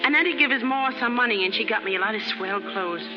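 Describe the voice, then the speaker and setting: A woman's voice: a little high, quick pace, lightly acted. An actress playing a brash, naive young chorus girl from the slums in 1930s American radio drama, with slangy, ungrammatical street talk. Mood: eager, lovestruck. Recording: muffled 1930s radio recording with music.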